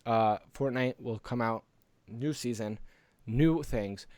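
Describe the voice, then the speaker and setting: A man with a mid-pitched voice talks casually as a podcast co-host, explaining and giving his opinions. The sound is dry, with very little room to it. His delivery is conversational and informal. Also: slow pace, darkish tone, clean tone, no echo. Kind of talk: casual podcast chat about gaming.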